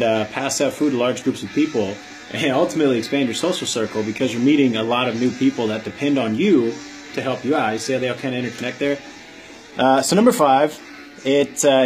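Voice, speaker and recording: A man speaks at an average pitch as a vlog host, explaining things plainly and earnestly. His delivery is informal motivational advice aimed straight at the viewer. Faint music plays behind his voice. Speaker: vlog host, army veteran. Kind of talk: motivational advice talk to camera.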